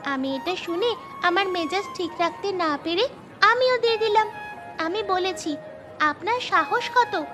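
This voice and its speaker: A woman's voice: very high-pitched, expressive, unhurried, over music. A woman voicing a bold, clever village schoolgirl in a cartoon story. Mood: spirited.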